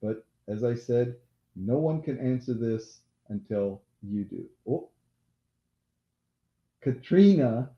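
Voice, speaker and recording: Slow-talking man hosting a live quiz. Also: mid-range voice, animated. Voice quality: loud voice. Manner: slow, repeats questions, apologetic asides. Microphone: laptop mic. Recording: echoey room, dull lo-fi laptop audio.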